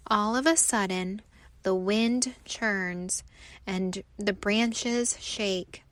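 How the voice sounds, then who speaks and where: A woman narrating a children's storybook aloud with expressive, deliberate phrasing. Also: on the high side, no room sound.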